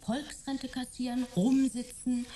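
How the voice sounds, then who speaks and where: A woman's voice: sits high, little pitch movement, a little slow, warm tone, slight background noise. A woman playing a spirited young adventurer in a sci-fi radio drama, gushing, teasing and insistent. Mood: teasing, defiant. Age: young adult. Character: spirited, enthusiastic, headstrong young woman, detective's friend.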